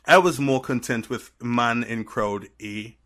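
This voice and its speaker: A man with a Trinidadian accent tinged with American, telling stories as a podcast guest in an animated, rambling way. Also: medium pitch, a little slow. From Trinidad and Tobago. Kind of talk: casual chat.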